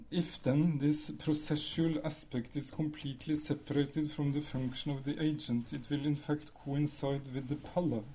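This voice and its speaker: Soft-toned man giving a measured lecture. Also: fairly high pitch. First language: Norwegian. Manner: measured, explanatory, with pauses and fillers. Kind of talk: academic lecture.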